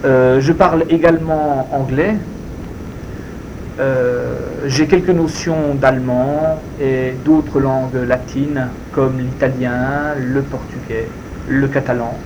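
A man whose first language is Spanish, answering interview questions in a deliberate, hesitant way with many fillers. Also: mid-range voice, highs cut off. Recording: steady background noise.

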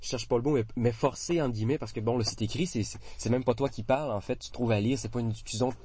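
A man in his forties with a Québécois French accent, talking in a relaxed, hesitant way full of fillers and restarts. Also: medium pitch, fast talker, slightly rough. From Quebec. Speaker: interviewee. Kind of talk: casual conversation.

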